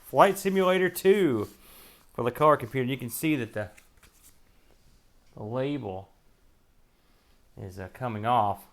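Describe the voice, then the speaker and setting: A man's voice: mid-range voice, expressive, unhurried, pauses often. A man hosting a retro gaming show, giving casual, wry unboxing commentary in folksy slang as he thinks aloud while handling items.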